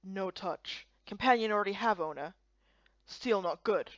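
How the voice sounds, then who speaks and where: A woman voicing a gruff but kindly male giant who talks in halting, broken, simple-minded phrases. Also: medium pitch, no room sound, dull audio, pitched below the performer's own voice, lightly acted. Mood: gruff warning. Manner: broken, halting caveman-like speech.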